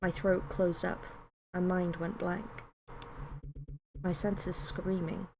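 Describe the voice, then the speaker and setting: A woman with a British accent and a slightly low voice hosts a game stream. She speaks in a casual, informal way. There is some faint noise behind her. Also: flat delivery, slow. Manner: chatty, informal. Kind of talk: casual live-stream chat with read-aloud story narration.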